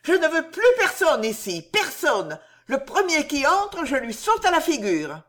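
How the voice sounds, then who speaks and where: A fierce peasant woman, voiced by a woman narrator, protective and quick to scold, her voice raised and pitched high. The lines ride up and down with feeling in an audiobook. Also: very animated, fairly bright tone. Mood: angry. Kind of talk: audiobook reading.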